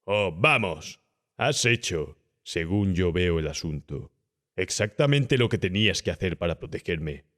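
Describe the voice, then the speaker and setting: Man playing a low-voiced cynical young gentleman. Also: lively intonation, measured pace. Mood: cold, insistent. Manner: smooth-talking, persuasive, condescending. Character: cynical, calm, domineering young gentleman body snatcher. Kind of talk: audiobook horror story acting.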